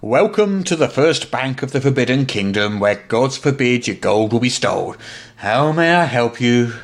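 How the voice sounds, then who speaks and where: A man plays an unflappable fantasy bank clerk in a comedy sketch. He is polite and patient, with a mid-pitched, matter-of-fact delivery and quiet scepticism. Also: expressive, moderate pace, natural voice. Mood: deadpan.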